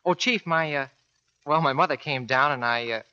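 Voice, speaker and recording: A man's voice: a little high, normal speed, sharp tone, smooth. A man playing a timid, polite young sailor with a hesitant manner in a 1940s radio drama. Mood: nervous. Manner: hesitant, stammering. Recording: dull 1940s radio recording.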